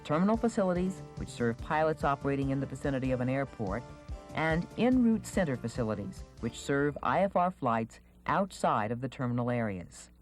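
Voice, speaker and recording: A narrator reading a scripted instructional video in a measured, clearly enunciated manner. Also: medium pitch, big pitch swings, faint music behind.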